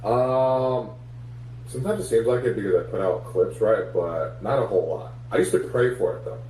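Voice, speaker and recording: A man's voice: lowish voice, moderate pace, mellow. A man, a comedian guest in a casual interview, talking things through reflectively with many fillers. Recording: echoey room, distant mic, faint hum.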